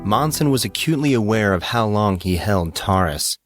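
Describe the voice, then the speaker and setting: A man reading dramatic fiction as a narrator, in a measured and earnest manner. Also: fairly low pitch, animated, soft background music. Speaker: audiobook narrator.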